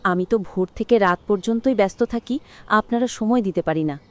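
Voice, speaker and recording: An actress playing a busy daughter-in-law in a cartoon, making polite, persuasive excuses in a Bangladeshi Bengali accent. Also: female voice, mid-range voice, fairly quick, close to an everyday voice. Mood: excusing, coaxing. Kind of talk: cartoon voice acting.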